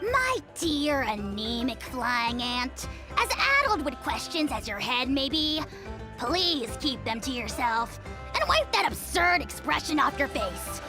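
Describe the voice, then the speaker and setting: A tiny, self-important dragon tyrant from a fantasy game. The voice is high and wildly animated, with grand, haughty pronouncements that flare easily into temper. Music plays clearly behind it. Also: female voice, a little slow. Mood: condescending. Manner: pompous, grandiose royal "we". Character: tiny pompous dragon lord, arrogant, haughty, quick to anger. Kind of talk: theatrical fantasy game acting.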